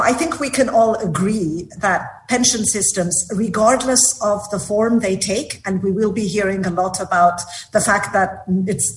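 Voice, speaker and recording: A woman department director giving a measured formal introduction at a meeting, with frequent fillers. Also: medium pitch, rough recording.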